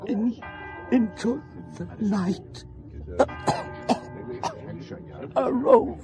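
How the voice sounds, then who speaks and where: A dying man in an audio drama, straining for breath. The voice is very high and extremely slow, broken into urgent fragments, with music behind it. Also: sing-song, full character voice. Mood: weak, desperate. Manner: halting, fragmented, gasping speech. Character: gravely injured dying Englishman, whispering urgent secrets. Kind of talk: radio drama acting.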